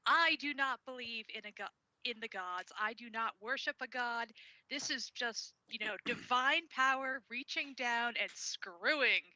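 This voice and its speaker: A woman's voice: fairly high pitch, measured pace, sharp tone, poor audio. A woman playing a tabletop game, earnestly arguing her character's case. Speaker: player.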